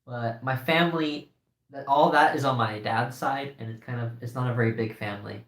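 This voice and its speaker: A man's voice: mid-range voice, a little fast, a bit bright. A young American man from Iowa, chatting casually and laughing often while co-hosting a livestream. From United States, Iowa. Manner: giggly, chatty, reads comments aloud. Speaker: livestream co-host. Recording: echoey room.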